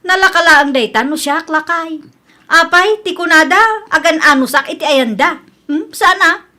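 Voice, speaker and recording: A high-pitched, bright and forceful Ilocano Filipino working woman, acted by a woman in a radio drama. She is slippery and defensive, stammering excuses and turning indignant under challenge. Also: normal speed. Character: defensive Filipino working woman caught stealing, evasive wife.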